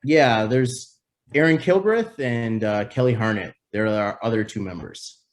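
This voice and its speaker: A man in his thirties, a guest on a casual interview, speaking in a relaxed, matter-of-fact way with frequent restarts. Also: lowish voice, a little slow. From Toronto area, Canada. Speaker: guest, business founder.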